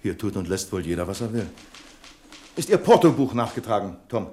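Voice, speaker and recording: A man's voice: fairly low pitch, sing-song, fairly quick, mellow, a little hiss or hum. An actor playing a stern, pompous and cutting male bank boss in a German radio drama. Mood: stern, reproachful. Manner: pompous, cutting, clipped orders.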